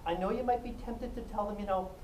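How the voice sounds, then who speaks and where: A woman giving a self-defense talk, animated and jokey, often laughing and acting out what people said. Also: medium pitch, quick pace. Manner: animated, jokey, laughs a lot, acts out quotes. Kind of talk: lively storytelling talk to a class. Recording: echoey room, mic at a distance, faint noise.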